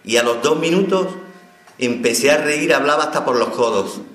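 A man's voice: medium pitch, lively intonation, moderate pace, fairly bright tone, reverberant, faint music behind. A man from Spain telling his personal testimony as an inmate, heartfelt and emotional.

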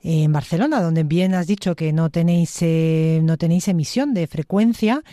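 A woman's voice: sits low, moderate pace, warm tone, no echo, clean studio sound. A woman with a Spain Spanish accent hosting a conversational radio interview in an appreciative, encouraging, rambling way. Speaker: radio host.

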